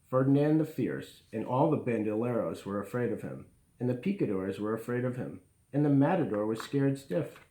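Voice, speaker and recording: A man reads a children's bedtime story aloud in a lively, expressive way. His mid-pitched voice swings up and down, and he pauses for emphasis and speaks to his listeners. The sound is echoey, as in a bare room. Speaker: bedtime story reader for a school community.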